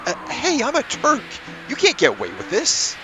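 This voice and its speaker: A flustered young woman agent, played by a man in falsetto, protesting in a high, lifted voice. Game music plays underneath. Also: huge pitch swings, normal speed, full character voice.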